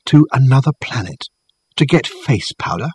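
A man voicing a dry, formal detective in an audiobook, speaking deliberately in wordy, probing sentences. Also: medium pitch, expressive, darkish tone, slightly rough, no room sound. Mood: intent, probing. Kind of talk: audiobook character acting.

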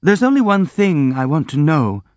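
A man voicing an earnest young prince who speaks in a quiet, subdued way. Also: a little high, sing-song, moderate pace, dry recording, muffled recording, pitched above the performer's own voice. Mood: gloomy.

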